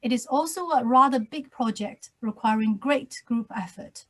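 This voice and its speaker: Woman lecturer interviewing, fairly high voice, slow formal delivery, video call. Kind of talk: scripted introduction and interview questions.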